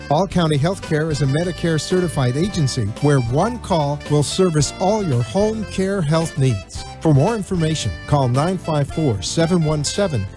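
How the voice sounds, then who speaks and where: A man reading a scripted sponsor announcement as a show's announcer. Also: on the high side. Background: music.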